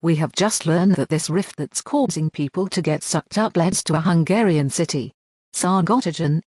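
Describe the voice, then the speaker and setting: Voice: medium pitch, a little mellow, no echo. Formal emergency broadcast announcer, dry. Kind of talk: scripted emergency news bulletin.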